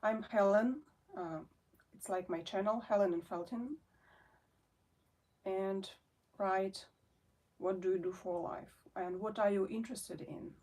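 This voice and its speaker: A Russian-born woman in her forties talks slowly to her viewers in English, her second language, pausing often and restarting her sentences. Her voice sits a little away from the microphone, so it sounds somewhat distant. The tone is a relaxed, homemade chat. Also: mid-range voice. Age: 40s. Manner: hesitant, many fillers and restarts. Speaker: felting artist and channel host. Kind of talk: casual chat to camera.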